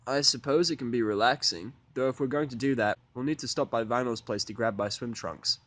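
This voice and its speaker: A man voicing a grumpy, sarcastic human outsider with flat, terse, grudging delivery in a story reading. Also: mid-range voice, fairly quick, close to an everyday voice. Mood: reluctant.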